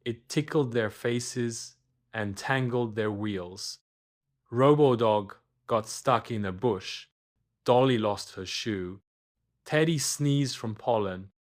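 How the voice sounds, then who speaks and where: A man narrating a scripted children's bedtime story, expressive and deliberate, with dramatic pauses. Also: mid-range voice.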